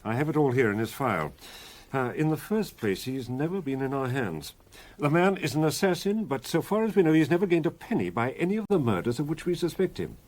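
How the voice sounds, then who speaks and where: An actor playing a formal, authoritative British police colonel in a radio drama. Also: male voice, a little high, sing-song, fairly quick, darkish tone. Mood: grave, explanatory.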